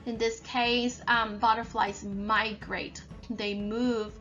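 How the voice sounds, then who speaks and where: Slow, high, bright woman storyteller. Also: level tone, highs cut off. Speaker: read-aloud storyteller and teacher. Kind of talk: children's story read-aloud and explanation.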